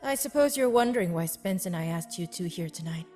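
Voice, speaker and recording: A woman's voice: fairly low pitch, expressive, a little fast, a little mellow, faint music behind, close to an everyday voice. A woman as a probing, sceptical young cadet. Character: scheming, sceptical young female military cadet. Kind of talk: scripted audio comic acting.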